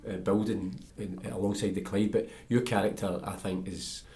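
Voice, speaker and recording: A man with a fairly low voice hosts a relaxed, conversational interview. He speaks thoughtfully and takes a roundabout route to his point. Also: moderate pace. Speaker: interviewer, podcast host.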